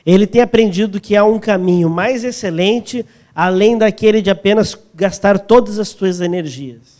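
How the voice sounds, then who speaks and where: A man with a Brazilian accent interpreting a sermon phrase by phrase, sometimes halting as he searches for wording. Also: high-pitched, a little mellow.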